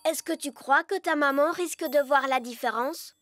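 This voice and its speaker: A woman's voice: high-pitched, moderate pace, a bit bright, no room sound. A woman voicing an earnest, handy little elf boy in a French children's cartoon dub, boyish and chirpy. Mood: helpful. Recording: children's music behind the voice.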